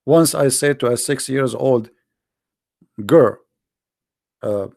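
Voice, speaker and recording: Gravelly man. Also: mid-range voice, takes it slowly, darkish tone, no echo, studio quality. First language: Arabic. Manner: blunt, emphatic, preaching to viewers. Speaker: host of a daily online broadcast. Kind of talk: livestream monologue.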